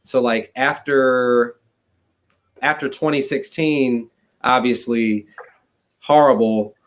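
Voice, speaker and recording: A man in his late twenties speaks as a podcast guest, a political consultant. He talks quickly and casually, with a constant stream of "like" fillers. His voice sits at an ordinary middle pitch. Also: fairly even intonation. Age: 20s. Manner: fast, conversational, peppered with "like". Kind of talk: casual interview chat.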